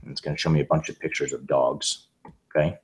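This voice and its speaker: A man's voice: a bass voice, flat delivery, quick pace. A man recovering from laryngitis, giving a casual, rambling tutorial over a video call with many fillers and restarts. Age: adult with a young son. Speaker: presenter demonstrating software. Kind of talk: casual screen-share tutorial.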